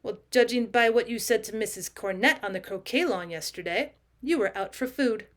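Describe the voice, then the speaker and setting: A woman's voice: a little high, moderate pace, lightly acted. A woman voicing a coldly sardonic talking male cat in a storybook reading, haughty, unruffled and cutting. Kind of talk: audiobook character voice, British classic short story.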